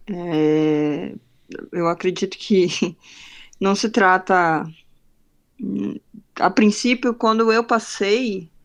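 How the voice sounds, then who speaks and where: A young adult woman from northern Brazil, with a faint northern Brazilian accent, speaking frankly as a podcast guest and acting out the dialogue in her stories. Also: mid-range voice. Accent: faint northern Brazilian Portuguese. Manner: frank, animated storyteller acting out quoted dialogue. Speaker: podcast guest, marketing professional. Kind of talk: casual interview conversation.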